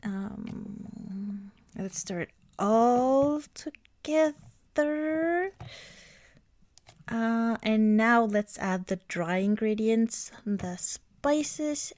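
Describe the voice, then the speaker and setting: A woman doing a soft-spoken ASMR girlfriend roleplay, gentle and often slipping toward a whisper, close to the microphone. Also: a little high, dry recording. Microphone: close microphone, dry sound.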